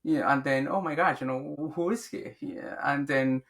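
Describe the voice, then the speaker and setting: A man's voice: fairly high pitch, normal speed, a bit bright. A middle-aged man, a podcast guest speaking earnestly and often restarting his words. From Guatemala. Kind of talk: reflective interview talk.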